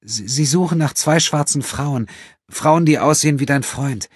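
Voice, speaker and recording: Mann spricht eine verängstigte, stammelnde junge Frau, belegte Stimme. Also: male voice, fairly high pitch, slightly rough, no echo, studio quality, voice pushed up. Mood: apologetic, pleading. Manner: stammering, halting. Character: frightened, pleading young woman, stammering and defensive. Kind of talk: audiobook character acting.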